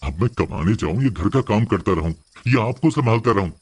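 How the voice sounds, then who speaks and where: Man voicing an irritable working husband, lowish pitch, husky, complaining, reproachful, cartoon moral story. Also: animated, fairly quick. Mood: fed up. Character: irritable, hard-working adult son and husband.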